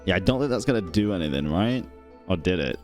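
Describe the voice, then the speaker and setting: A man's voice: low voice, animated, fast talker. A man reacting to a video game as a streamer, casual, slangy and sweary. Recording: faint game music behind. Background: video game music.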